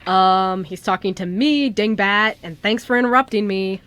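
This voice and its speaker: A woman's voice: mid-range voice, moderate pace, fairly bright tone, close to an everyday voice. A woman playing a sassy, casual young male ghost in an amateur radio play. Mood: cheeky. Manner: casual, flippant.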